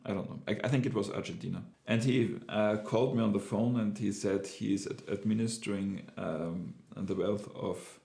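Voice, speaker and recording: A man's voice: fairly low pitch, steady tone, normal speed, creaky, few pauses, warm tone. A middle-aged man with a German accent telling a personal business story in a casual, hesitant way, with many fillers and restarts. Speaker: entrepreneur sharing his experience.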